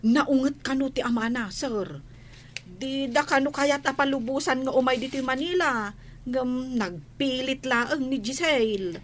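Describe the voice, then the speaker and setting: A woman playing a respectful, excitable household maid in a radio drama, with an Ilocano accent. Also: high voice, unhurried. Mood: anxious, deferential.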